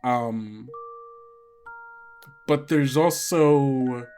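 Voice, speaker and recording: Slow, halting game master over music. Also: medium pitch, a little mellow. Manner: halting, conversational, frequent 'like' and 'you know'. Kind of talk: improvised tabletop horror narration.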